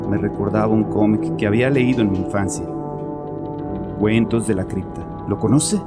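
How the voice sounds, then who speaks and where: An actor playing a troubled, chauvinist bereaved father confessing in an audio horror drama, with a haunted, halting manner. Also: fairly low pitch, steady tone, over loud music, close to an everyday voice. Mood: fearful, confiding. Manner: haunted, halting, repeats himself.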